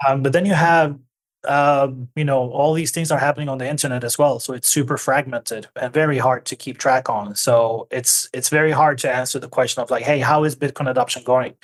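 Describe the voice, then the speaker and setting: A man's voice: mid-range voice, fairly even intonation, brisk. A man in his forties, from Argentina and raised in Norway, speaking as an interview guest in a thoughtful, hesitant way with many restarts. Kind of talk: conversational interview.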